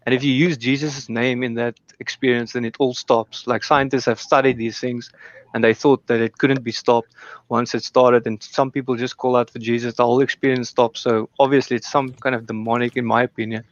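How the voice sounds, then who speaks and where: A man whose first language is Afrikaans, speaking English haltingly in a casual debate on a call. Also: mid-range voice, level tone, dry recording, lo-fi sound. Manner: hesitant, halting, laughs nervously.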